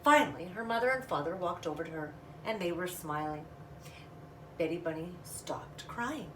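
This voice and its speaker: A woman's voice: lowish voice, animated, normal speed, a bit bright. Woman reading a storybook in an echoey room. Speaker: storyteller reading a children's book. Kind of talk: expressive read-aloud narration with casual asides. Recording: roomy, distant mic, faint noise.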